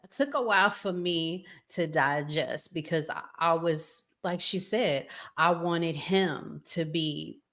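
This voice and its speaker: A woman hosting a podcast in a casual, reflective way, deliberately repeating phrases as she forms each thought. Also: low-pitched, a bit bright. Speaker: podcast host.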